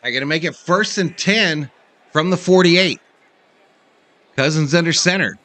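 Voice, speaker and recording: A man's voice: on the high side, unhurried, bright, forceful tone. A man hosting a sports livestream, giving casual play-by-play and chatting with fans in a folksy, informal way. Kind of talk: casual play-by-play commentary and fan chat.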